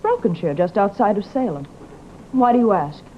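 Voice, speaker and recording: A wronged young lady of vintage radio drama, played by an actress. She speaks formally, at a middling pitch, with a plaintive edge that can turn tart. The old recording is dull and faintly noisy. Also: expressive, moderate pace, close to an everyday voice. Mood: indignant. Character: prim, aggrieved young woman suspected of witchcraft. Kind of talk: old-time radio horror-comedy acting.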